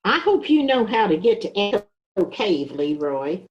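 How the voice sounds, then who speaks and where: Animated small-town doctor played by a woman. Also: medium pitch, normal speed, dull audio, natural voice. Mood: concerned. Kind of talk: amateur readers theater reading.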